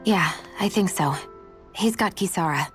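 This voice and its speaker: A woman's voice: fairly low pitch, unhurried, faint music behind, close to an everyday voice. An actress playing a thoughtful, candid young woman in a fantasy video game, speaking in an earnest, reflective way. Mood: tender, hesitant. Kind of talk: video game cutscene acting.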